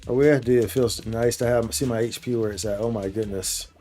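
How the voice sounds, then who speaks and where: A man with a mellow, dark-toned voice streams games with faint music behind him. He comments casually on the play as it goes, in loose, slangy, bro-and-dude talk. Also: mid-range voice, fairly even intonation, a little fast. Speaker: game streamer. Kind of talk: casual live gameplay commentary.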